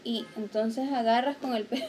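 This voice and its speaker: A woman's voice: a little high, steady tone, normal speed, darkish tone, a little hiss or hum. A woman in her late twenties from Venezuela, speaking with a Venezuelan accent in a playful, teasing vlog chat to a handheld camera. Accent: Venezuelan Spanish. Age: late 20s. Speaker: vlogger. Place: shop aisles, then a small living room. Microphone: handheld camera at arm's length.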